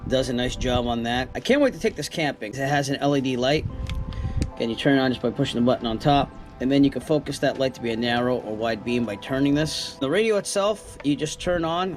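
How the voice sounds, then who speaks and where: A man giving a casual, plain-spoken product review, explaining things step by step as he talks. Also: mid-range voice.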